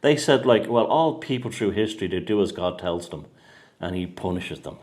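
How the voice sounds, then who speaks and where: A man's voice: fairly low pitch, lively intonation, normal speed, a little mellow. An Irish man, an author, chatting casually and rambling, with many restarts and repeated words. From Ireland. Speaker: author and host. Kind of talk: casual conversation.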